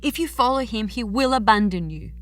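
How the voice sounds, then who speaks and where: A woman's voice: on the high side, expressive, a little fast, a bit bright. A woman playing a sombre chorus figure of warning and lament in a poetic tragedy, grave and rhetorical.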